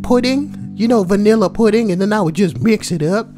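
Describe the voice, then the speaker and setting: A woman's voice: mid-range voice, a little fast, music in the background. A woman reading forum posts aloud and adding crude, sweary, joking commentary. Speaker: online narrator and commentator.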